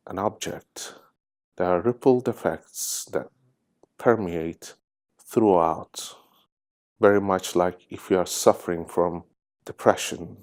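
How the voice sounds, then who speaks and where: A man narrating a guided meditation in measured, deliberate phrases with pauses. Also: deep voice, a little mellow. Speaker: guided meditation host, hypnosis therapist.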